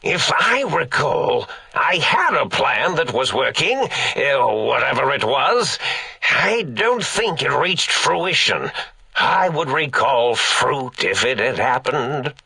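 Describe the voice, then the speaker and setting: An eccentric old mad scientist from a video game, with a raspy, gravelly and sharply bright voice. His pitch sits fairly high and swoops theatrically as he rambles in slow, pompous, absent-minded phrases. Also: sing-song, no room sound, heavily acted. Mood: befuddled, muttering. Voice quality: raspy, creaky. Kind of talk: video game character acting.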